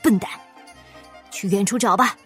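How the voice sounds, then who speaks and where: A woman's voice: high voice, animated, moderate pace, darkish tone, faint music behind. Woman voicing a sneaky, menacing male treasury minister. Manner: conspiratorial, bossy, blustering. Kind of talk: children's storybook character voice.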